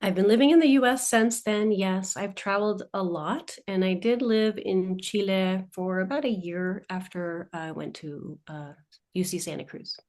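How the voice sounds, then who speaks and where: A woman in her forties who is a coach and a guest on an interview, telling her family story in a thoughtful, measured way. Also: medium pitch, a little mellow. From United States. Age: 40s.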